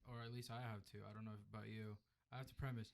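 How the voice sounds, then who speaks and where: Young male co-host, low-pitched, dry jokey asides in casual film chat. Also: level tone, rapid-fire, slight background noise. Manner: wry, teasing interjections. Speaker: podcast co-host.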